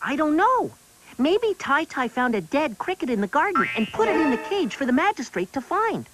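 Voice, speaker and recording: A woman's voice: high voice, big pitch swings, moderate pace, music in the background. A woman voicing a small male cricket in a TV cartoon who is cheerful and very proud of his singing. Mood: boastful. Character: boastful little male cricket singer. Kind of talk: TV cartoon acting.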